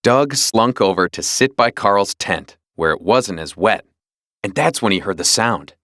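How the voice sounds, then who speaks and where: Male storybook narrator for young readers: animated, dramatic, mid-pitched, dry studio-style sound. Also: unhurried, dry recording. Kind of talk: scripted children's read-along narration.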